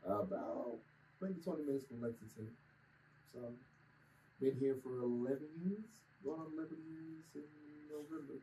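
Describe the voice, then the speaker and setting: A man's voice: a little high, moderate pace, mellow, poor audio. A man from Kentucky answering casually as a musician guest in a group interview. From Kentucky, United States. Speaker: vocalist, keyboard player and interview guest. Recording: background room noise.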